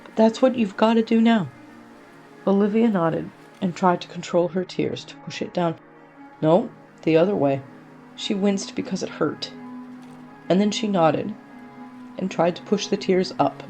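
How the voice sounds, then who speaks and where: A woman narrator reading a short story in an expressive, scripted style. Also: fairly low pitch, measured pace, creaky, faint music behind.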